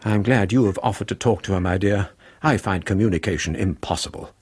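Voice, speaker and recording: A man's voice: lowish voice, brisk, darkish tone, breathy edge. A man playing a middle-aged, dismissive Victorian father in a radio drama, speaking formally with an upper-class English accent. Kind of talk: 1990s radio drama acting.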